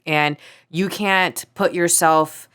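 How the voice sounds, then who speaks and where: A woman's voice: on the low side, fairly even intonation, slow pace, a bit bright, clear, resonant tone. A woman in her thirties co-hosting a podcast, talking in an earnest, reflective way. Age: 30s. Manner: earnest, reflective, quotes her own past thoughts. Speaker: podcast co-host. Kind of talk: casual chat.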